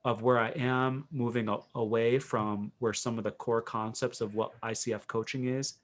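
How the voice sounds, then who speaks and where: Reflective man, mid-pitched, in conversation. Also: fairly even intonation, normal speed. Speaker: interview guest, professional coach. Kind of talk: conversational podcast interview.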